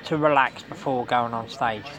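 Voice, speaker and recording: A man's voice: mid-range voice, steady tone, normal speed, crowd noise. A man conducting a casual music interview, asking short questions as the interviewer.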